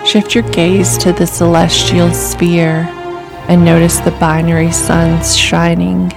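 A woman calmly reading a scripted guided imagery meditation in a soothing, deliberate way. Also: fairly low pitch, gravelly. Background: music.

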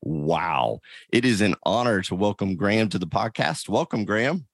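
A man's voice: fairly low pitch, very animated, normal speed, gravelly, dry recording, studio quality. A man hosting a podcast, talking in a casual, upbeat way as he introduces his guest. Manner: casual and upbeat, reads ad copy. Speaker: podcast host. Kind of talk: podcast interview.

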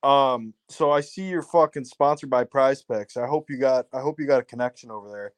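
A man's voice: medium pitch, fairly quick, no echo. A man in his twenties calling into a live show, blunt and swearing a lot. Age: 20s. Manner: profane, blunt, excitable. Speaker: caller. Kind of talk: casual chat.

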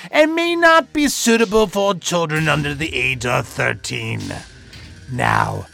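A man's voice: fairly high pitch, vocal fry, a bit bright, full character voice. A man playing a creepy old lord who hosts a horror story with mock-sinister jokes. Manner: mock-sinister, joking, drawn-out delivery. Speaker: host. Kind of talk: theatrical horror host intro.

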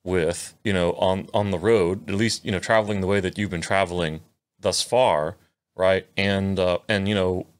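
A man with a very deep voice hosts a casual interview. He asks long, winding questions padded with fillers, often ending on a quick tag question. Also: steady tone. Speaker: interview host.

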